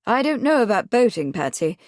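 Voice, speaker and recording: Woman voicing a calm measured gentleman. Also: no echo, clean studio sound, close to an everyday voice. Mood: placating. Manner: measured, low and earnest.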